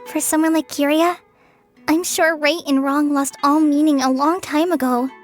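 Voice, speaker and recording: An earnest young adventurer, voiced by a woman in a video game. Her voice is fairly high, and she speaks plainly and directly. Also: fairly even intonation, normal speed, soft background music, close to an everyday voice.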